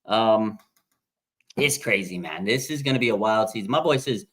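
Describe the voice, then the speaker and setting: An American man of about forty from Las Vegas, hosting a casual live stream and reading out fan comments in an excitable way. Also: moderate pace, breathy edge. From Las Vegas, Nevada, USA. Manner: excitable, with sudden pitch jumps. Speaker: livestream host.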